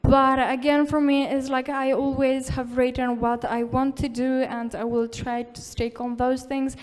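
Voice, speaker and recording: A woman's voice: sits high, level tone, measured pace. A woman speaking at a tech conference, encouraging and informal, with plenty of "like" and "uh" fillers. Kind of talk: live conference talk. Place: conference hall.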